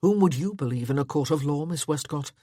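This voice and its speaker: A woman voicing a blunt, war-hardened male army officer in a storybook reading, clipped and forceful. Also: low voice, animated, a little fast, no room sound, studio quality. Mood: stern.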